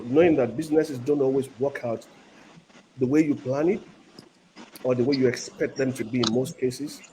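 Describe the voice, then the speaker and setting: A man from Nigeria, hosting an online panel in a relaxed way, often saying "you know". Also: medium pitch, a little hiss or hum, rough recording. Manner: relaxed, leans on "you know", light laughter. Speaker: host. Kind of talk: casual panel chat.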